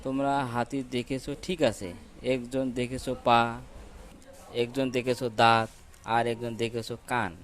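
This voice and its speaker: A man playing bossy, know-it-all village men in a children's cartoon fable, loud and emphatic as he explains. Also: medium pitch, flat delivery, a little slow, natural voice. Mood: firm. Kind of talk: children's cartoon story acting. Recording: loud music behind the voice.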